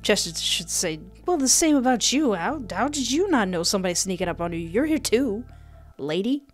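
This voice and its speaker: A woman's voice: medium pitch, sing-song, moderate pace. A young adult woman hosting a gaming video, switching between reading text aloud and casual commentary on a close mic. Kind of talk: let's play commentary with dramatic read-aloud narration. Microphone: close desk microphone with headset. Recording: music behind.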